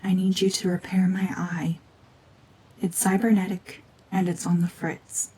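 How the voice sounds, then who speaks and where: A woman voicing a cold, mean renegade gorgon witch in a hushed, half-whispered ASMR drama, domineering and sardonic. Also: fairly low pitch, little pitch movement, unhurried, husky, low-quality recording. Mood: cold menace.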